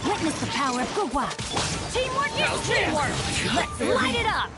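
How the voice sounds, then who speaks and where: A woman's voice: very high voice, very animated, normal speed. A woman voicing a spirited, confident, playful young fighter calling battle cries in a video game. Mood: eager, bold. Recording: loud game music and combat effects.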